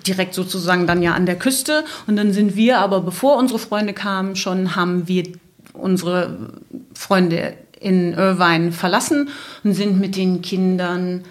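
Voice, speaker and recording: A woman's voice: on the low side, steady tone, a little slow. A middle-aged woman tells a casual, detailed travel story, wandering and correcting herself as she goes. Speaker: podcast guest, travelling mother.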